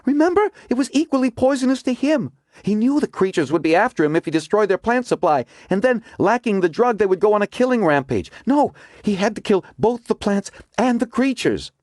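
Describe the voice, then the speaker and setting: Male reader voicing a breathless, insistent woman scientist, pitched well up. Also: sing-song, a little fast, darkish tone, no echo, clean studio sound. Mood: explaining. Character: determined young woman scientist, urgent.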